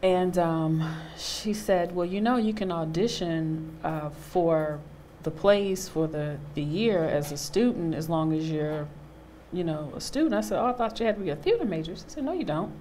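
A woman in her thirties on a studio panel, telling a personal story with animated quoted voices. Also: lowish voice, normal speed, a little hiss or hum. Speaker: panel guest, actor. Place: studio stage with a small audience.

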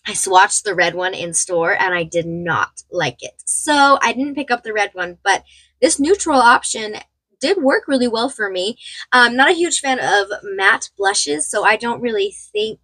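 A woman's voice: a little high, bright, forceful tone, no room sound, poor audio. A young woman getting over a cold, casually reviewing makeup in a small room at home, rambling and chatty with many fillers. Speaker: beauty vlogger.

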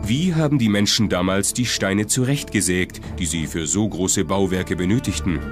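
Male narrator for documentary, lower register, scripted read over a prominent music bed. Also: moderate pace.

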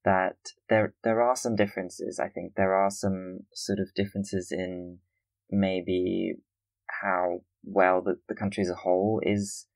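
A man's voice: low voice, little pitch movement, measured pace, vocal fry, dry recording, poor audio. A man from Austria hosting a conversational podcast interview in an earnest, tentative manner. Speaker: podcast host.

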